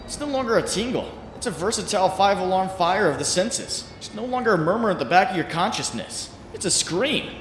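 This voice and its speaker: A man's voice: high-pitched, expressive, a little fast, few pauses, fairly bright tone. A man playing an anxious, wry young superhero in a comic dub, brooding and self-doubting. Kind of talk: dramatic second-person narration and dialogue, comic dub. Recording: echoey room with faint background noise.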